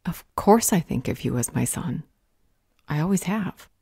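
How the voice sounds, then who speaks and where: Woman playing a low, mellow motherly vampire healer. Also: animated, unhurried, gravelly, slightly rough, no room sound, studio quality, heavily acted. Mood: tender, earnest. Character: protective motherly older female vampire healer, calm, caring mentor. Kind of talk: audio roleplay acting.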